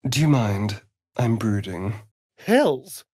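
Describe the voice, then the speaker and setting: A man's voice: on the low side, big pitch swings, slow pace, mellow, dry recording, heavily acted. An actor playing a vain, sly male vampire rogue in a video game, with a British accent and an arch, melodramatic, catty delivery. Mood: exasperated. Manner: arch, drawling, melodramatic, dry wit. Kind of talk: dramatic video game acting.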